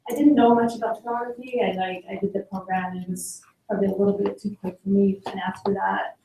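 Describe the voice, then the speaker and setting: Soft, gravelly woman in a roomy hall. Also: mid-range voice, level tone, quick pace, a little hiss or hum. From Sweden. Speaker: photographer. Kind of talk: personal talk about her career. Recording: echoey hall, heard from a distance.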